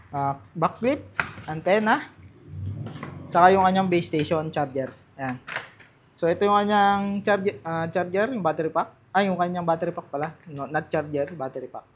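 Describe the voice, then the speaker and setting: A man's voice: a little high, a little hiss or hum. A Filipino man doing casual unboxing and testing commentary as a vlogger, talking informally straight to his viewers and mixing in English. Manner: informal, addresses viewers directly, mixes Tagalog and English.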